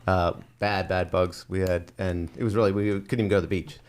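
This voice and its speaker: Easygoing male podcast guest, from Scotland, low-ish voice, lots of uhs. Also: little pitch movement, a little fast. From Scotland, raised in New Mexico. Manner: easygoing, hesitant with many fillers. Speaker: guest, visitor. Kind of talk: casual interview chat.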